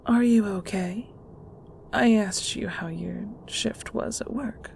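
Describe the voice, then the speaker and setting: A woman playing a controlling, middle-aged mother in an audio roleplay, with condescending sweetness and a patronizing, deliberate delivery. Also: medium pitch, creaky, a little mellow, a little hiss or hum. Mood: coaxing, teasing. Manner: patronizing, slow, deliberate interrogation.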